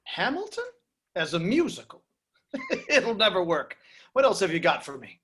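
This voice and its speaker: A man acts out a brash Hollywood studio boss with a very high, bright voice. He swings animatedly between glib salesmanship and flustered stammering in a table-read drama. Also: wildly swooping pitch, heavily acted. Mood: dismissive. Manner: stammering, fast-switching, salesman-like.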